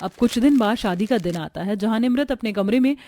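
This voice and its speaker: Slightly high-voiced woman story narrator. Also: lively intonation, fairly quick, mellow.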